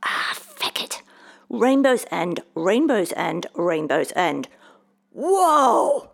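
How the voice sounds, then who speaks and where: A woman's voice: medium pitch, wildly swooping pitch, measured pace, creaky, breathy edge, in a large hall, pitched above the performer's own voice, exaggerated voice. A woman voicing a moody, flustered schoolboy in an audiobook story, slangy and defensive. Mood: exasperated. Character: moody, flustered Irish schoolboy in his teens.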